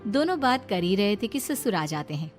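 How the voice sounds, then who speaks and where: A woman's voice: mid-range voice, big pitch swings, moderate pace, faint music behind. A woman storyteller reading scripted narration for a moral story.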